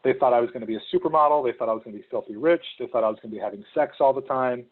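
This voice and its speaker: A young man from Ohio talks through an information session in a loose, conversational way, dropping plenty of ums. His voice sits at an ordinary middle pitch. The sound is thin and narrow, like a video call. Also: racing. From Ohio, United States. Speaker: returned volunteer presenter.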